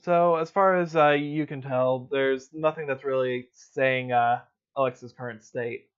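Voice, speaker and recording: A man narrates a tabletop roleplay session as game master, easygoing and loose, dropping in little fillers as he describes the scene. His mic sounds a bit dull and lo-fi. His pitch sits in an ordinary middle range. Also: a little slow, clear, resonant tone. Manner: relaxed, filler-heavy narration.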